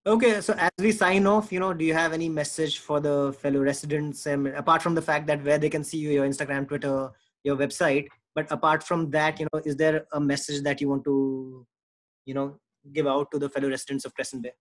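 A man's voice: a little high, level tone, brisk. A man with an Indian accent hosting a casual interview in a chatty, informal way. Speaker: podcast host.

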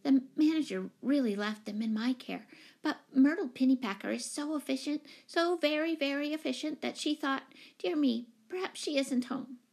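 A woman voicing a timid, flustered small elderly lady, squeaky, fluttery and apologetic, in a storybook reading. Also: moderate pace, creaky, a little mellow. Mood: earnest, anxious.

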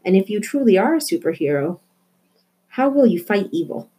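A woman voicing an earnest, excitable young girl in a storybook, explaining things eagerly and emphatically. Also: mid-range voice, normal speed, husky. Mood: urgent. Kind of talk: storybook character voice.

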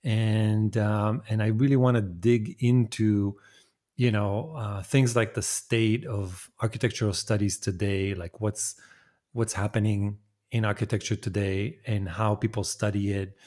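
A man with a fairly low voice hosting a podcast. He speaks conversationally, setting out the questions and topics for his guest. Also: steady tone, measured pace, darkish tone. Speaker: podcast host. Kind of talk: interview questions.

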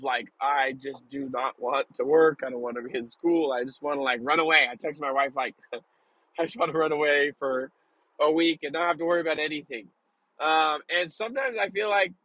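A young man with a slightly high, mildly congested voice hosts a casual solo podcast. He talks informally and openly, feeling his way through his thoughts in a rambling manner. The sound is close and dry. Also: sharp tone, dry recording, lo-fi sound. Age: young adult. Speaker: podcast host.

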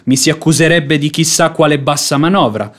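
An actor playing a sanctimonious, scheming devout beggar in a radio drama, speaking in a measured, unctuous, self-sacrificing way. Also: male voice, fairly high pitch, fairly bright tone, close to an everyday voice. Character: sanctimonious hypocritical male devout beggar, falsely humble and scheming. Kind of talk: theatrical Italian radio drama acting.

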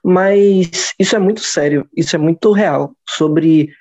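A man's voice: sits high, a little slow, a little mellow. A young man in his twenties with a Brazilian accent, musing in casual podcast chat, rambling and restarting as he goes. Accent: Brazilian Portuguese. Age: 20s. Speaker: podcast host. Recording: dull lo-fi sound.

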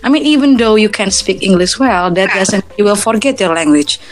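A woman's voice: medium pitch, lively intonation, a little fast. A woman from Indonesia with an Indonesian accent, giving earnest advice in casual English chat, often restarting and repeating words.

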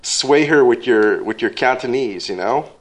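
A man's voice: medium pitch, animated, moderate pace. A young man in casual conversation, prodding with questions and filling his talk with "like" and "you know". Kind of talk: casual chat.

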